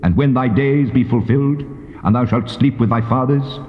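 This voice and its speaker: An actor playing God, speaking a solemn, commanding promise in stately, formal scriptural drama. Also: male voice, mid-range voice, moderate pace, slight background noise, lightly acted. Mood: solemn, majestic. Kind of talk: dramatized King James scripture. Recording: long reverb, echoey, muffled tape.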